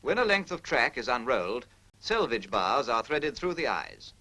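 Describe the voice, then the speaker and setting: Bright-voiced British man, 1940s film narrator. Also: mid-range voice, big pitch swings, moderate pace, poor audio. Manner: precise, instructional, impersonal. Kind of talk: formal scripted 1940s military film narration.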